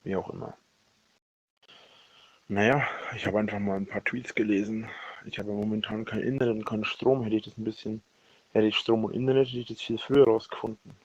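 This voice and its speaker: A man speaking a rambling personal voicemail, halting and often correcting himself, with a husky voice. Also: on the low side, little pitch movement. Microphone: voice message recorded on a phone. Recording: dull lo-fi leaked voicemail recording.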